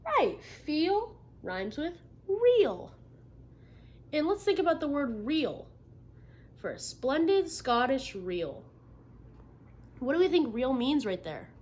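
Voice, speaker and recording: A woman reading a picture book aloud as a teacher, expressively, pausing to ask questions and confirm answers. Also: sits high, big pitch swings, a little mellow. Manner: instructive, checking in with listeners by questions and affirmations. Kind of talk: interactive children's read-aloud, expressive storybook narration with questions. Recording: noticeable background noise.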